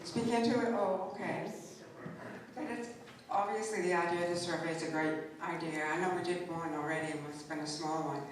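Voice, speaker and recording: A woman's voice: fairly low pitch, fairly even intonation, fairly quick. A woman resident making a hesitant public comment at a town meeting, speaking with fillers and partly away from the mic. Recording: very echoey hall, distant, audible noise.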